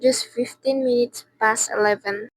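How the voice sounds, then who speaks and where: Teen girl as a courteous, high-voiced registry clerk. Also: steady tone, moderate pace, dry recording, lightly acted, rough recording. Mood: courteous, unmoved. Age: teenager. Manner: formal, courteous, matter-of-fact. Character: polite, unruffled female nurses' registry clerk. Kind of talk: radio drama acting.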